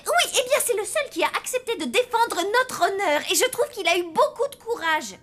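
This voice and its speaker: A woman's voice: very high-pitched, animated, normal speed, a bit bright. An actress playing a plucky, evasive young zombie girl in a children's cartoon, with an emphatic, defensive way of talking. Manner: emphatic, defensive, stammers when fibbing.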